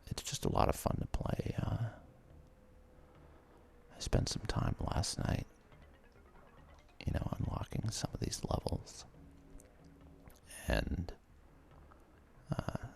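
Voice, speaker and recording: A man giving ASMR let's play commentary in a breathy, gravelly whisper, close to the microphone, with relaxed, self-deprecating asides. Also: takes it slowly, long pauses, a little hiss or hum. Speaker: host of a gaming ASMR series.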